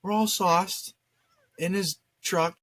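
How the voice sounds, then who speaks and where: A young man telling stories in a casual chat, animated and slangy with plenty of swearing and acted-out quotes. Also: high voice, slow pace, no room sound. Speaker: co-host.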